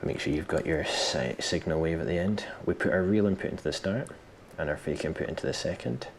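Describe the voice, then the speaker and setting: A man presenting a casual software tutorial, thinking aloud in an informal, self-deprecating way, with some background noise in the recording. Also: sits low, brisk.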